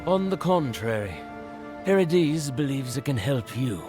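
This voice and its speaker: A man playing a secretive agent of a hidden faction in a video game, speaking in a measured, formal, cryptic way with veiled menace. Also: medium pitch, very animated, music in the background. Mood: veiled, solemn. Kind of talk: dramatic game voice acting.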